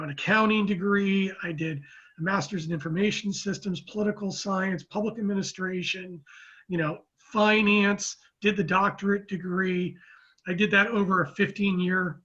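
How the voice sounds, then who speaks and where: A man in his fifties from Oakland, a guest telling his story in a rambling, measured way with many restarts. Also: high voice, sharp tone, husky, highs cut off. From Oakland, California, US. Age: 50s. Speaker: guest, real estate economist. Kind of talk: casual interview talk.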